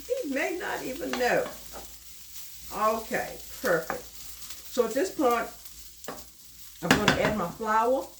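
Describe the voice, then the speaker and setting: A middle-aged woman talks her viewers through a recipe at her stove, calling them y'all in a chatty, homey way. Her voice is stuffed up and a little nasal from a lingering cold. The kitchen sounds roomy, and a loud sizzle and frying rise from the pan behind her. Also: medium pitch, background noise. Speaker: cooking channel host. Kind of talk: casual cooking vlog.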